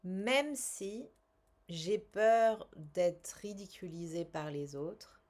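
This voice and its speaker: Female coach for guided tapping exercise: slightly low pitch, very slow delivery, measured instructions with pauses. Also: darkish tone. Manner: measured, instructional, with pauses between short phrases. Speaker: tapping coach and language teacher.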